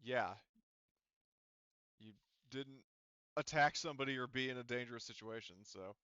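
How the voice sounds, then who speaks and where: A man's voice: medium pitch, moderate pace, bright, forceful tone, clear, resonant tone, dry recording, highs cut off. A man chatting casually as game master of a tabletop roleplaying game, teasing and swearing freely.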